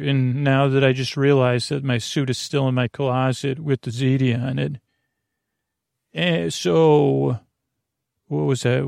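A man hosting a bedtime podcast in a creaky voice, meandering through tangents and interrupting himself in a lulling, soothing manner. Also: mid-range voice, darkish tone, no room sound, clean studio sound. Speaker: podcast host. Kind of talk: rambling bedtime podcast monologue.

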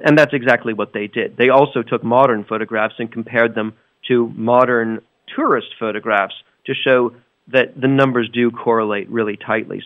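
A man speaks as a science correspondent in an interview, explaining things in an animated way at a middling pitch. The sound is narrow and band-limited, with very little room echo. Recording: narrow, slightly dull radio-studio sound.

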